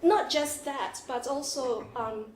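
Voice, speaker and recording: A woman with a Singaporean accent presents her research at a conference, lively, with many "um"s. Her voice sits a little high. The room is echoey, with faint hiss behind her. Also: slow pace. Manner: lively, with laughs and fillers. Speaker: conference speaker. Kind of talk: academic presentation. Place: seminar room.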